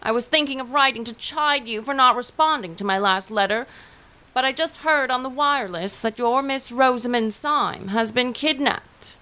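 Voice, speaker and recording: A woman's voice: fairly high pitch, moderate pace, pushed and bright. An actress playing an affectionate, teasing sister in a period audio drama, reading her letters aloud with composed, formal diction. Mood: worried, earnest.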